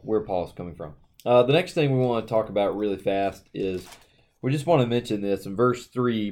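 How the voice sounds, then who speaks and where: A man's voice: lowish voice, darkish tone. A man co-hosting a casual Bible study discussion, talking conversationally with frequent fillers and reading verses aloud. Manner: conversational, reads scripture aloud, uses fillers. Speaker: podcast co-host.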